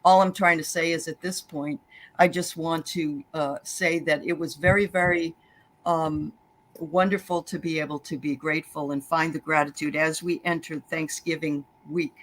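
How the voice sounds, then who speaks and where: A woman's voice: low-pitched, fairly even intonation, moderate pace, low-quality recording. A woman co-hosting a live chat, agreeing often and speaking about gratitude. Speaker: co-host. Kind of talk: casual chat on a live stream.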